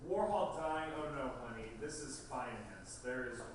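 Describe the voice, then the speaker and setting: A man's voice: medium pitch, expressive, moderate pace, lightly acted. Man acting a flamboyant, fussy manager in echoey room. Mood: breezy, imperious. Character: flamboyant, fussy, self-assured male manager and decorator. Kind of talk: table read of a comedy script. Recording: echoey noisy room, distant microphone.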